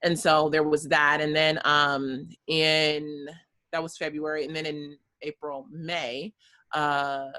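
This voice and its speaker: Bright-voiced American woman telling her story. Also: low-pitched. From United States. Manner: chatty, many fillers, laughs often. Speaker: guest. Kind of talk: conversational interview storytelling.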